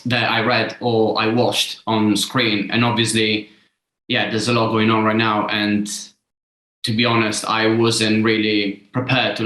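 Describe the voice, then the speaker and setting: A man's voice: fairly low pitch, bright, forceful tone. A man from Italy, a film director answering on a festival panel, tentative and leaning on "like" and "kind of". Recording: echoey room.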